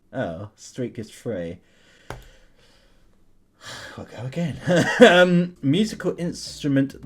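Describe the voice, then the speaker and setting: A young man hosts a gaming video in a casual, chatty way. His mid-pitched voice moves up and down animatedly as he thinks out loud, with music playing behind him. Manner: chatty, informal, thinking aloud. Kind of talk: casual gameplay commentary.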